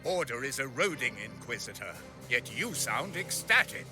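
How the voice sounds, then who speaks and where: A man's voice: mid-range voice, very animated, moderate pace, natural voice. A man playing a grim, suspicious knight in a sci-fi video game, speaking in a formal, severe way. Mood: accusing. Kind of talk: dramatic video game voice acting. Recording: music under the voice.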